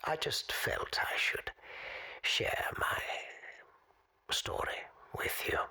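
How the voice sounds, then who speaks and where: An actor playing a mysterious forgotten man calling a radio show in an audio drama, courteous and ominous, speaking in a measured, cryptic, whispery rasp. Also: bright, forceful tone. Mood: cryptic, resigned.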